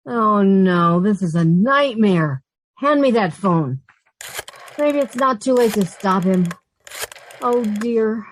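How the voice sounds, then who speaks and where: A woman's voice: mid-range voice, animated, slow, a little hiss or hum, low-quality recording. A woman playing an anxious, sardonic young roommate who also narrates, in an old-time radio sitcom style. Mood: horrified dismay. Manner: wry, long-suffering, nervous.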